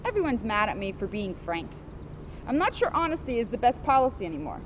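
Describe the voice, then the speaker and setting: A woman's voice: a little high, very animated, quick pace, highs cut off, slight background noise. Woman reading as a blunt, honest schoolboy. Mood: downcast.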